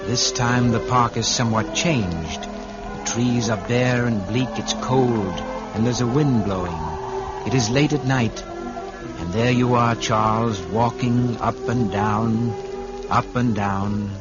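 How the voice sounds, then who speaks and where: An actor playing Tomorrow personified, an all-knowing, calm male guide who speaks in measured, poetic phrases in an old-time radio drama. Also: mid-range voice, natural voice. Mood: grave, insistent. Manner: measured, solemn, poetic narration of visions. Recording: muffled vintage radio recording with music behind.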